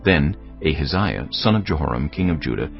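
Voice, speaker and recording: A man's voice: very deep voice, lively intonation, fairly quick, vocal fry. A man narrating scripture solemnly for a dramatized audio production. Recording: dull lo-fi audio with music.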